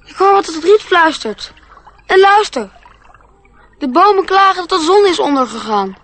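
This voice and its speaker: A woman's voice: very high-pitched, animated, moderate pace, a bit bright. A woman acting an innocent, wide-eyed young schoolboy, eager and full of wonder, in a radio play. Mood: excited wonder.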